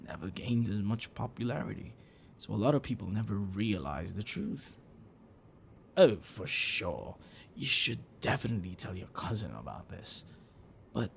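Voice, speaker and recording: A man playing a gentle, bookish half-dragon boyfriend in an intimate ASMR roleplay, affectionate and apologetic, speaking haltingly and softly. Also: fairly low pitch, vocal fry, a little hiss or hum, full character voice. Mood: explaining, earnest. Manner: halting, soft chuckles and sighs, dropping to whispers.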